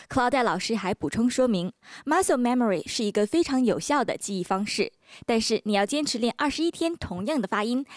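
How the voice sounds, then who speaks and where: Lively woman narrator, dry close sound. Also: on the high side, no echo. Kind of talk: scripted voice-over narration.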